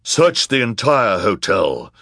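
A man's voice: on the low side, huge pitch swings, slow pace, a bit bright, no echo, studio quality, voice pushed up, exaggerated voice. Man acting a haughty, imperious villain. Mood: commanding. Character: imperious villainous man ordering a crowd about. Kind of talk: audiobook character acting.

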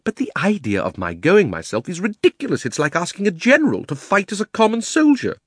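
Pompous old uncle-magician, wildly swooping voice. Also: male voice, high voice, wildly swooping pitch, fairly quick, husky, dry recording, clean studio sound, voice pushed up, exaggerated voice. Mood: outraged, grandiose. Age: older. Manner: haughty, indignant, condescending. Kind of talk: dramatised storybook reading.

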